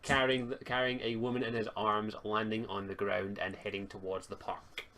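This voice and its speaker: A man's voice: lowish voice, little pitch movement, normal speed, bright, forceful tone, rough recording. A Scottish man narrating an online tabletop role-playing game as game master in an informal, casual way.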